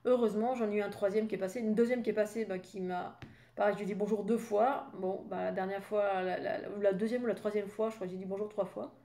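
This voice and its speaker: A woman's voice: mid-range voice, fairly even intonation, fast, dark tone. A French woman in her fifties, a spiritual coach talking casually in a rambling, chatty way with many fillers and asides. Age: 50s. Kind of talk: casual spoken monologue.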